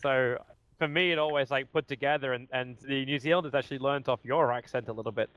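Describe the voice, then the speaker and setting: A man with an Australian accent giving rapid, excitable play-by-play commentary on an esports match. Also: medium pitch, clear, resonant tone, poor audio. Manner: rapid, excitable calling of the action.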